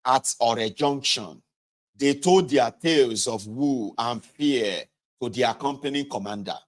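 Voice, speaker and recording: A man's voice: medium pitch, measured pace. A man with a Nigerian accent reading a book aloud and adding conversational asides, speaking directly to listeners and sometimes correcting himself. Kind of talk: read-aloud narration with casual asides.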